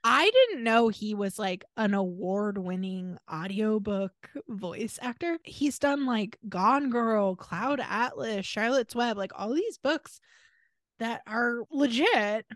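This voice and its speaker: A woman with an American accent co-hosting a podcast in casual chat, telling stories in an animated, informal way. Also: fairly high pitch, slow pace, no echo, clean studio sound. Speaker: podcast co-host.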